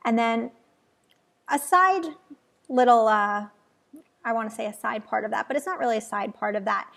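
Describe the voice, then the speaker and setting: A woman's voice: a little high, moderate pace, vocal fry, a bit bright. A woman hosting an interview show, upbeat and enthusiastic as she leads the conversation. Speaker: show host, business coach. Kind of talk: interview host chat.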